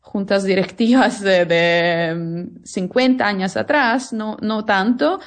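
A woman's voice: medium pitch, slow pace. A woman with an Italian accent answers interview questions conversationally in a second language, often searching for words. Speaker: interview guest, lawyer and illustrator.

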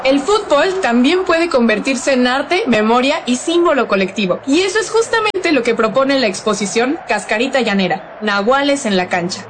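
A woman's voice: high-pitched, expressive, normal speed, few pauses, noisy background. A woman reading scripted announcements for a radio magazine. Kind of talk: scripted narration.

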